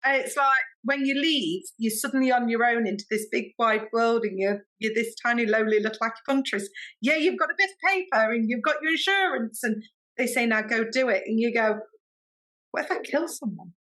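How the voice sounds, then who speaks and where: A woman with an English accent, a podcast guest telling stories in a chatty, expressive way and acting out quoted dialogue. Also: a little high, brisk, rough recording. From Britain. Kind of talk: animated storytelling in casual interview.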